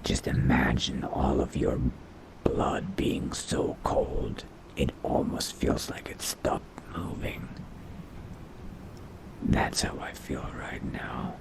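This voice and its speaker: A man voicing a brooding, hungry vampire mage in a slow, halting, low gravelly whisper, musing to himself in a video game roleplay. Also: heavily acted, rough recording. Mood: craving, restrained.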